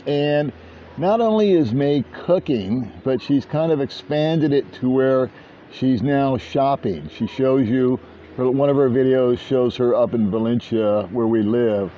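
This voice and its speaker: A man's voice: mid-range voice, unhurried, slight background noise. An older man chatting casually to camera at a beach resort, rambling and self-deprecating. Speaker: vlogger. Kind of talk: casual vlog chat. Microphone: handheld small camera. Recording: dull lo-fi outdoor audio.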